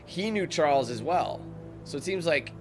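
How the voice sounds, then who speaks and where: A man doing casual let's-play commentary, thinking out loud, talking to his viewers and reading the game text aloud. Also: a little high, expressive, moderate pace. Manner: chatty, thinks out loud, talks to viewers as squad. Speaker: gaming YouTuber. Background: game music.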